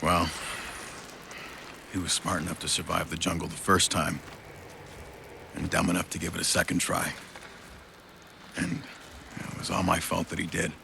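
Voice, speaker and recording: Low, heavily creaking, world-weary man. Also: little pitch movement. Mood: bitter. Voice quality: very gravelly, fried. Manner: cynical, profane, halting. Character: bitter, world-weary male outrider's son, debt-ridden survivor. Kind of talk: video game cutscene acting. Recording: clear background rumble and ambience.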